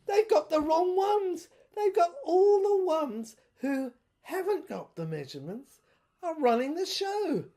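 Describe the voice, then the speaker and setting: A woman's voice: very high-pitched, very animated, a little slow, warm tone, pitched above the performer's own voice, full character voice. Woman acting an insistent, argumentative rebel soldier. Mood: insistent, agitated.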